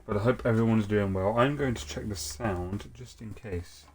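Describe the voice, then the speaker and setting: A man's voice: fairly low pitch, moderate pace, a little mellow. Rambling young man with a cold. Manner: rambling, hesitant, with many fillers and restarts. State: has a cold. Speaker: gaming streamer. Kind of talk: casual let's-play chat.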